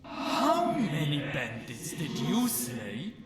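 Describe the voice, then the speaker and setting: Man playing a wry, slow-talking ghost mercenary. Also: a little high, huge pitch swings, heavily acted. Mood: defensive. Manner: sardonic, matter-of-fact, unhurried, casually profane. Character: wry, world-weary male ghost mercenary archer, defensive but loyal. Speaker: voiced follower character in a game mod. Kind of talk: scripted game character monologue. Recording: roomy, distant voice under loud music. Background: loud music.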